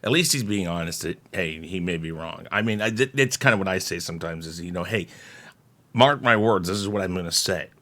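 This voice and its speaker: A man hosting casual reaction commentary, speaking in a measured way with pauses and throat clearing. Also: low voice, a bit bright. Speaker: host reacting to a video.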